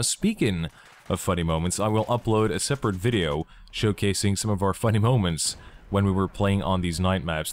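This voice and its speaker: A young man from Belgium, talking enthusiastically and jokingly in a casual gaming vlog. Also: on the low side. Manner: enthusiastic, joking, asks himself questions. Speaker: gaming YouTuber.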